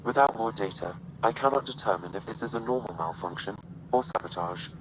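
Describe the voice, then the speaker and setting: A man voicing a calm, precise artificial intelligence in a video game, speaking in measured technical terms. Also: lowish voice, natural voice. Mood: impassive. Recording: noisy ship ambience behind.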